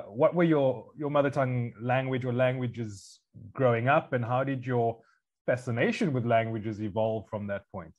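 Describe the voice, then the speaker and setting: A man's voice: mid-range voice, a little mellow, highs cut off. Male podcast host. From South Africa. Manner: long winding questions. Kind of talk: podcast interview.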